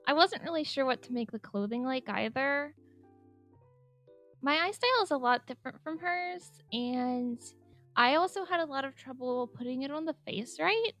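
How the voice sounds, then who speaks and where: High-pitched giggly young woman. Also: animated, normal speed. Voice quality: speaks higher than her normal voice on mic. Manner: chatty, giggly, rambling with many "like"s. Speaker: artist narrating a speedpaint. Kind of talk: casual voice-over commentary. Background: soft background music.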